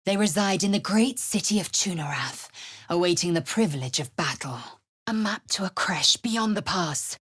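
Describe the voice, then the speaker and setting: A woman voicing a proud, harsh, disdainful female alien warrior in a video game, speaking in a clipped, formal, imperious way with a husky rasp. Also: on the low side, expressive, normal speed, a bit bright. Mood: haughty. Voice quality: husky, raspy. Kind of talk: video game character voice lines.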